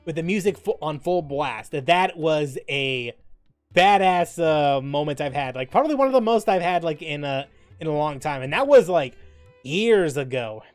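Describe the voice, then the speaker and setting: A man's voice: on the high side, animated, moderate pace, no echo, soft background music. A man streaming in a casual, rambling and informal way, reading things aloud and commenting on them. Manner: informal, rambling, mildly profane. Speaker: gaming streamer. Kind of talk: casual stream chat, reading quiz questions aloud and reacting.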